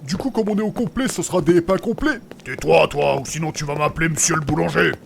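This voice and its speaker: A man playing a crude, brawling fighter in a comic fantasy audio drama, vulgar, gruff and mocking. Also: a little high, fast talker, background noise. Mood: brash, mocking. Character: crude, brawling fighter hungry for punch-ups.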